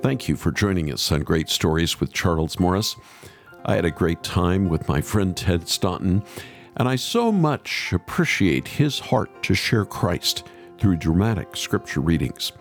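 Deep, gravelly male podcast host, expressive delivery. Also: a little slow, soft background music. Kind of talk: interview and scripted sign-off.

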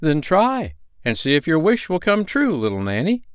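Man putting on an excitable high-pitched little magical old man. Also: moderate pace, no echo, clean studio sound, heavily acted. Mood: earnest. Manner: exclamatory, old-fashioned formal speech.

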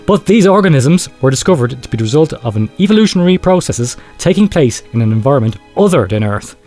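A man playing a grave researcher, telling a horror confession in a measured, clinical and ominous way. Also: mid-range voice, sing-song, darkish tone, soft background music. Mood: clinical dread. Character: grave male researcher confessing secret horrific experiments. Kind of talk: dramatic horror storytelling, read aloud.

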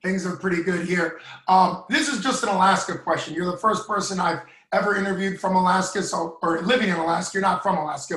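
A man's voice: high voice, fairly bright tone, roomy sound, rough recording. A talkative man hosting an interview podcast and asking long, friendly questions. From United States. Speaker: podcast host. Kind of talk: casual interview.

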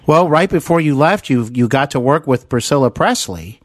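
A man's voice: medium pitch, animated, moderate pace, dry recording. A man hosting a podcast interview, speaking politely and deferentially in a conversational style. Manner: polite, deferential, affirming the guest.

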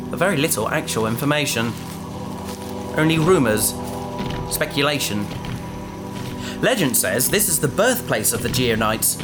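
An actor playing a bookish, curious young adventurer who talks eagerly, like a lecturer. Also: male voice, on the high side. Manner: enthusiastic, lecturing. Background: music.

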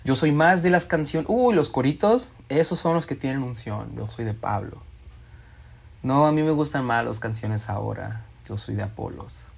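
A man delivers a sermon. He reads scripture aloud and acts out quoted speakers with expressive shifts in his voice. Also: medium pitch, moderate pace, creaky, husky. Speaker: preacher.

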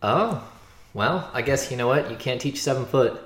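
A man hosting a casual sports interview, asking rambling, halting questions with restarts, recorded in a roomy, echoey space. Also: mid-range voice, expressive, fairly bright tone. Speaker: podcast host, sports interviewer.

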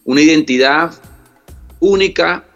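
A man's voice: a little high, measured pace, a bit bright, dull audio, soft background music. A man from Venezuela, a reggae artist, answering interview questions in an earnest way, often repeating words and checking that he is understood.

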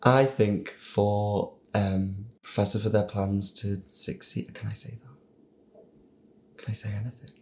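A man's voice: fairly low pitch, fairly even intonation, a little slow, a little mellow. A man chatting casually on a panel, hesitant, self-deprecating and prone to giggles. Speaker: voice actor on a Q&A panel. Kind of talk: casual group chat.

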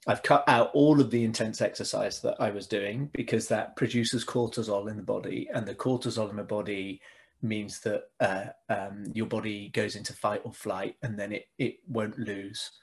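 A man, a podcast guest explaining his ideas earnestly with many fillers and restarts. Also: on the low side. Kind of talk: casual interview chat.

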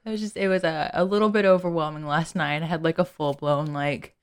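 A woman's voice: sits low, steady tone, brisk, clean tone. A young woman co-hosting a casual podcast, teasing her co-host and laughing often. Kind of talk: casual chat.

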